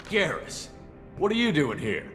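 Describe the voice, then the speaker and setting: A man's voice: on the high side, huge pitch swings, measured pace, fairly bright tone, big-room sound, soft background music, full character voice. An actor playing a straightforward male soldier and squad commander in a video game, speaking in a direct, familiar way. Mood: surprised, concerned.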